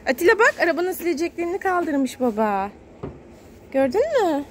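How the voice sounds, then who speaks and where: Give the voice of a woman with a high pitch, talking casually to a small child as she films outdoors. She is encouraging and repeats words in a sing-song way. Faint car wash noise sits behind her, picked up by a handheld phone. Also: sing-song, normal speed. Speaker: mother filming a family vlog. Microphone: handheld phone camera, speaker behind it.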